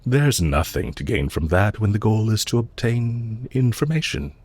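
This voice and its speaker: A man playing a low, husky, courteous villain. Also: animated, a little mellow. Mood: amused, composed. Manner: measured, formal, politely condescending. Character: calm, courteous villain leader, quietly menacing and amused. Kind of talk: audio roleplay, intimate scripted acting addressed to listener.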